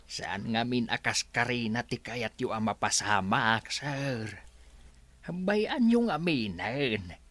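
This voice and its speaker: Woman playing a slow, swooping cautious underling, Ilocano accent. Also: a bass voice, lively intonation, fairly bright tone, no echo, full character voice. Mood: worried, pleading. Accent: Filipino (Ilocano). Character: cautious, pleading underling counseling a reckless boss. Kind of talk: Ilocano radio drama acting.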